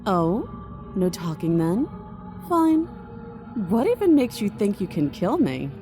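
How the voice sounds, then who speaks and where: An actress playing a cold, defiant captive princess in a video game, taunting and deliberate with quiet menace. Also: female voice, mid-range voice, big pitch swings, music in the background. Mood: cool, taunting.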